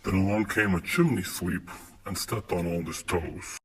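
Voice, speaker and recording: Man playing a low-voiced noir detective. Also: measured pace, a bit bright. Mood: world-weary. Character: hardboiled noir detective narrating his case in rhyme. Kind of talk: rhyming film-noir parody narration. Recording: noisy homemade phone clips.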